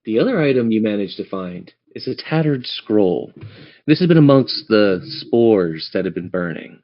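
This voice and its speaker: A man's voice: lowish voice, sing-song, moderate pace. A man narrating a tabletop game as game master in a casual, improvised way. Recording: dull lo-fi band-limited audio.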